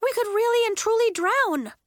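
A woman's voice: extremely high pitch, huge pitch swings, moderate pace, bright, forceful tone, no room sound, studio quality, pitched above the performer's own voice, full character voice. A woman voicing a feisty, indignant schoolgirl who protests and exclaims, in an audiobook children's story. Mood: alarmed.

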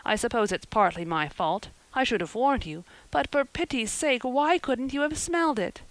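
A woman narrator acts out a practical, stern older woman in a storybook. The guardian speaks bluntly and briskly, with a scolding edge and no patience for nonsense. The sound is dry and close. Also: on the low side, sing-song, a bit bright, dry recording, low-quality recording. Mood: exasperated.

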